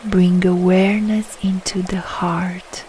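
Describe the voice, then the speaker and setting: Female meditation guide, lowish relaxed voice, soothing phrases, light trickling noise behind. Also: rough recording. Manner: soothing, unhurried, soft short phrases with long pauses. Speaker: yoga nidra meditation guide.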